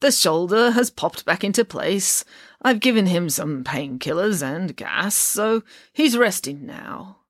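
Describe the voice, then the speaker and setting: A woman acts out an ancient male doctor in a storybook. He is calm and feeble, and draws his words out very slowly. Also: on the low side, no echo, clean studio sound. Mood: reassuring. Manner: slow, drawn-out.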